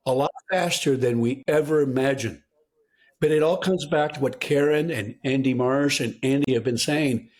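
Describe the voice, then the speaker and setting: An American man from New Mexico speaking as a podcast guest, blunt, exasperated and emphatic in an impassioned discussion. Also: a little high. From New Mexico, United States. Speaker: guest soil health advocate.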